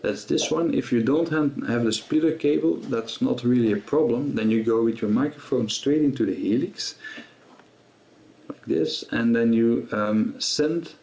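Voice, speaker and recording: A man presenting a guitar gear tutorial in a casual, explanatory way, with halting delivery and frequently repeated words. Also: mid-range voice, gravelly, darkish tone.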